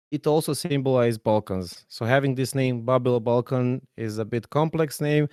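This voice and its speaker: Twenties guy from serbia cohosting a podcast, smooth clear voice, joking, lots of uhs, dry close mic. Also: mid-range voice, a little mellow, no room sound. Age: 20s. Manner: joking, explains at length with many fillers. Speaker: podcast co-host. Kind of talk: casual podcast chat.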